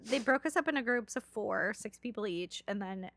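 A woman telling stories in casual chat, sarcastic and animated, acting out what others said. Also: mid-range voice, normal speed, no room sound. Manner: animated, sarcastic, acts out quoted voices.

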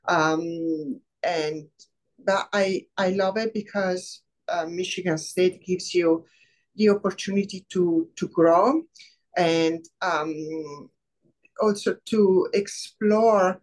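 A middle-aged woman from Rome with an Italian accent, a professor speaking in a casual interview, thoughtful with many pauses. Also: lowish voice. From Rome, Italy. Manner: warm, thoughtful, many "um" pauses, laughs easily. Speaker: biology professor, guest.

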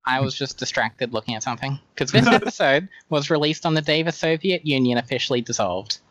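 A man's voice: mid-range voice, expressive, a little fast, a bit bright, dry recording, faint music behind, poor audio. A man chatting casually as a podcast guest in a wry, understated way. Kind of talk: casual chat.

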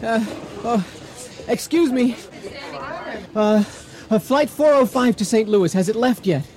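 An actor in a 1980 radio drama playing a flustered, apologetic man who pleads and stammers as he rushes for a flight. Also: extremely high pitch, animated, darkish tone, some chatter behind. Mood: anxious, pleading. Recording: vintage radio drama recording.

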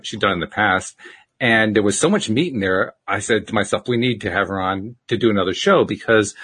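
Lively, husky male podcast host. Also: medium pitch. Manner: animated, chuckling, rambling. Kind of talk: casual podcast chat.

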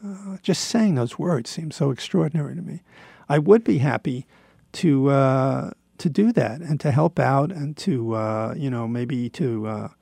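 A man in his seventies giving a rambling personal monologue in a halting, reflective, self-deprecating way, with a gravelly voice. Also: mid-range voice, mellow, no echo, studio quality. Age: 70s.

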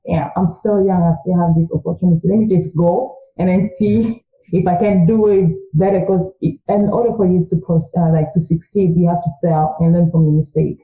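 A woman's voice: fairly low pitch, fairly even intonation, a little fast. A young woman from Congo whose first language is French, talking earnestly and chattily as an interview guest. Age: young adult. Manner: earnest, chatty, quoting her own thoughts aloud. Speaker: guest, recent college graduate. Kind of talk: casual interview talk. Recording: tinny phone-like line, echoey room.

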